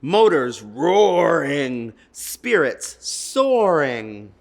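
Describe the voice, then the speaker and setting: A man hosting a children's storytime, reading picture books and chatting in a gentle, encouraging way. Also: medium pitch, big pitch swings, extremely slow.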